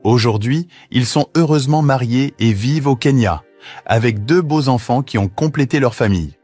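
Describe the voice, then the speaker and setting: A man in his fifties reading a scripted documentary voice-over in a measured, even storytelling manner. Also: medium pitch, husky, soft background music. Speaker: documentary narrator.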